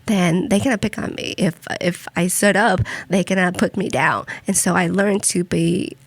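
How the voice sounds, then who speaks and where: A young woman from Vietnam shares her experiences in a reflective, halting way, circling back and restarting her thoughts. Her voice has a gravelly texture. The recording is dry and close. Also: on the low side, dry recording. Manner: reflective, with many fillers and restarts. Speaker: podcast guest. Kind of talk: personal storytelling in conversation.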